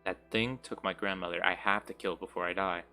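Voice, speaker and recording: A man's voice: low-pitched, fairly even intonation, a little fast, sharp tone, soft background music. A man voicing a despairing, self-loathing young woman in a fantasy story, bleak and subdued. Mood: grim.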